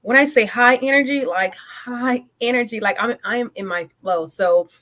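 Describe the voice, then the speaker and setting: A woman's voice: on the high side, moderate pace, a bit bright. A woman in her forties from Guyana, a guest on a podcast interview, talking eagerly and energetically, often stopping to correct herself. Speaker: guest, business coach and military veteran.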